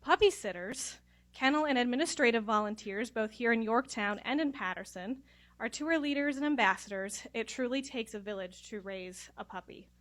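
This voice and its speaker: A woman giving a formal, measured speech of thanks at a graduation ceremony. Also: fairly high pitch, fairly bright tone. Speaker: regional manager of a puppy program. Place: ceremony room.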